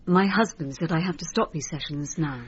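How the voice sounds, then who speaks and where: Anxious wife, husky voice. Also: female voice, low voice, moderate pace. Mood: anxious, unsure. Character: anxious married woman, hypnotherapy patient, unsure and questioning. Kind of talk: naturalistic radio drama acting. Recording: dull lo-fi radio broadcast.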